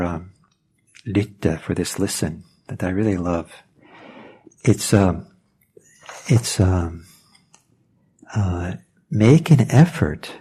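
A man whose first language is Norwegian, giving a reflective meditation talk, pausing and searching for words as he goes. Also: on the low side, dark tone, breathy edge. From Norway. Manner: thoughtful, hesitant, searching for words.

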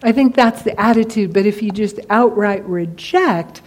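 A woman's voice: medium pitch, moderate pace. A woman teacher of Tibetan Buddhism answering on a discussion panel, talking casually and telling stories with animation.

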